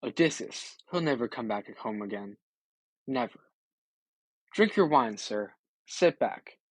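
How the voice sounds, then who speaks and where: A man's voice: medium pitch, lively intonation, slow pace, dry recording, poor audio. Man reading as a grieving, loyal old swineherd. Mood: weary, mournful. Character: loyal grieving old male swineherd, devoted to his master.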